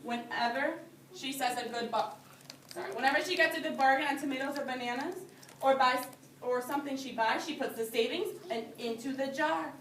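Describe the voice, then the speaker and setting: A woman reading a picture book aloud to young children as their teacher, pausing to explain things in simple phrases. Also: high-pitched, fairly bright tone. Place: indoor classroom. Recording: roomy, distant microphone with background noise.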